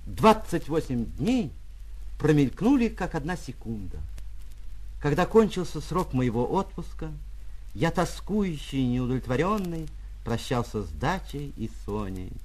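Expressive man narrating a short story. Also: a little high, a little slow, rough recording. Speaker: actor reading a short story. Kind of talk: dramatic literary audiobook narration.

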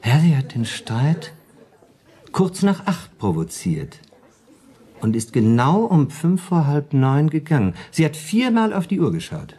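Radio drama schemer: wry, shrewd man, husky, very lively intonation. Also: a little high, measured pace, exaggerated voice. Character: wry, shrewd male dinner companion and armchair plotter. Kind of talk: German radio play acting.

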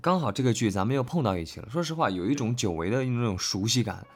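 A man's voice: medium pitch, lively intonation, fast talker, husky. A man speaking as a voice actor in an informal, unscripted interview, thinking aloud and often repeating phrases. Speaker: voice actor, reading questions and answering. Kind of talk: casual, unscripted interview chat.

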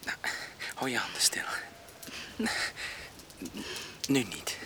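An actor in a radio drama playing an uneasy, reluctant young man who speaks in short, halting replies. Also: mid-range voice, expressive, bright, forceful tone, in a whisper. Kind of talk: Dutch radio drama acting. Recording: clear background noise with clicks.

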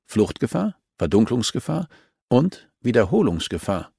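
A man voicing a cool, relentless policewoman in a crime audiobook, calm and insistent as she accuses a suspect. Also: medium pitch, a little slow, creaky, husky, dry recording, studio quality, voice pushed up, exaggerated voice.

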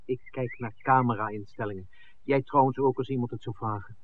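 Guy playing a wry laid-back film maker, medium pitch, old radio play, tinny with some hiss. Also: fairly even intonation, fairly quick, lightly acted. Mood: dry. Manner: dry, wry. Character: dry, easygoing male film maker, reluctant to meddle. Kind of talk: 1960s radio drama acting. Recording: thin, noisy old radio recording.

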